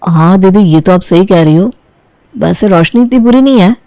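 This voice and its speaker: A woman's voice: medium pitch, lively intonation, moderate pace, mellow, no echo, close to an everyday voice. Woman playing an agreeable, polite younger brother. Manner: polite, reassuring.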